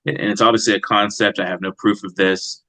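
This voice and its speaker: A man speaking as a poet in an interview, reflective and measured in his manner. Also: on the low side, level tone, bright, forceful tone. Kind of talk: interview answers and poetry reading.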